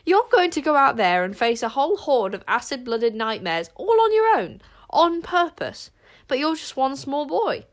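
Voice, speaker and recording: A woman's voice: high-pitched, animated, fast talker, few pauses, a bit bright, pitched above the performer's own voice. A woman voicing a nervous boy companion in a storybook, asking in anxious disbelief. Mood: incredulous.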